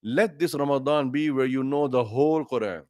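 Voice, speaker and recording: A middle-aged man, an imam, giving an earnest livestreamed religious talk with numbered tips and pauses to greet viewers. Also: mid-range voice, darkish tone, no echo, studio quality.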